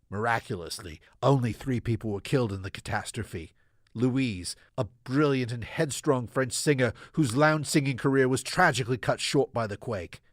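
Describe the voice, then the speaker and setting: A man playing a solemn, hopeful townsman reading out a heartfelt letter in a radio drama, measured and eloquent. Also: mid-range voice, no room sound, clean studio sound, close to an everyday voice.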